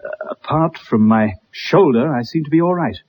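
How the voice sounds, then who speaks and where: An actor playing a bitter, haunted young ex-soldier in a dramatic 1960s radio play. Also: male voice, a little high, lively intonation, normal speed, darkish tone. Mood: resigned. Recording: muffled, dull old radio recording.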